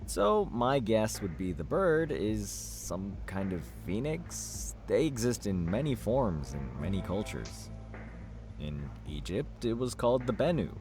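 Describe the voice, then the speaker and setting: An actor playing a chatty, good-natured art buff in a video game, with an expressive, lecturing and teasing way of talking. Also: male voice, lowish voice, measured pace, over music. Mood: scholarly, musing.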